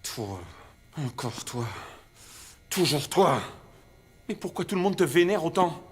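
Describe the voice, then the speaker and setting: A jealous cartoon office worker, high and husky. He stammers along haltingly, exasperated and flustered, his pitch jumping around. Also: expressive, full character voice. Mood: resentful, exasperated. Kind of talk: animated comedy acting.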